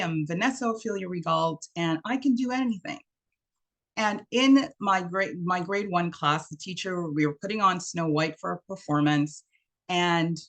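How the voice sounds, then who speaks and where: A woman in her fifties from Trinidad, giving an online workshop talk and telling stories with quoted lines, over a video call. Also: mid-range voice. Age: 50s. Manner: warm storytelling, acts out quotes. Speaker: workshop speaker.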